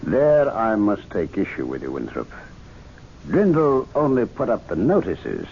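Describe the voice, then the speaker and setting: Animated, oratorical club gentleman. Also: lowish voice, vocal fry, full character voice. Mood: assured, argumentative. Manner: oratorical, deliberate rhetorical phrasing. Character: authoritative male club member, assured, didactic, persuasive theorist. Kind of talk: radio mystery drama acting. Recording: dull vintage radio recording with hiss.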